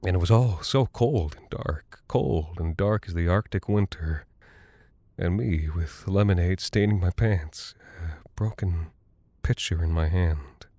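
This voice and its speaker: A man's voice: low-pitched, a little slow, dark tone, dry recording. A desperate space pilot and father coming apart with guilt. He rambles and stammers through a haunted horror monologue, recorded close and dry. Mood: bleak, haunted. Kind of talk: dramatic monologue in horror audio fiction.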